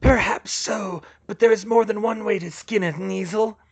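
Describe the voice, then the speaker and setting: A man playing a smug, imperious dark lord in a fantasy audiobook, with slow, menacing, drawn-out delivery. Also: high voice, steady tone, vocal fry, voice pushed up.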